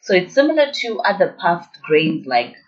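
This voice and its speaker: Slightly low-voiced young woman. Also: sing-song, moderate pace. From Zimbabwe. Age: 20s. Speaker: interview guest, postgraduate researcher. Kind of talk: explanatory interview talk. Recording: dull lo-fi audio.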